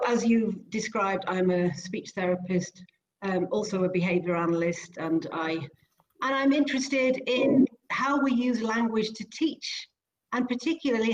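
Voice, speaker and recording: A woman from Wales with a British accent, a speech therapist and behavior analyst, explaining her ideas as an interview guest in a measured, articulate way. Welsh is her first language. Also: fairly low pitch, poor audio.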